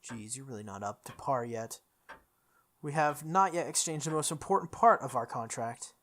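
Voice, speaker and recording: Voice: medium pitch, normal speed, fairly bright tone, natural voice. A video game player voicing a sardonic male spirit archer servant, formal and teasing, from a visual novel. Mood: sarcastic.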